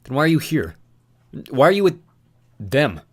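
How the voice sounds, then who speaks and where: A man's voice: fairly high pitch, expressive, brisk, voice pushed up, close to an everyday voice. A man voicing a frightened young man urgently pleading with his friend.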